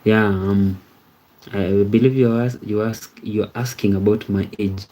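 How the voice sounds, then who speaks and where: Low, flat-voiced man in his thirties. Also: unhurried, poor audio. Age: 30s. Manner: rambling, repeats words, often asks "you see?". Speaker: livestream host. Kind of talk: casual livestream chat reading viewer comments.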